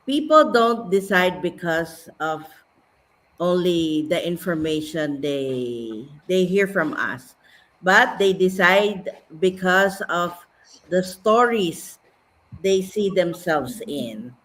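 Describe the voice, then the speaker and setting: Brief: middle-aged Filipino woman host, polite and slow, bright, forward voice. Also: on the low side, animated. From Philippines. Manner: polite, welcoming. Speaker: host and business mentor. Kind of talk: live stream introduction.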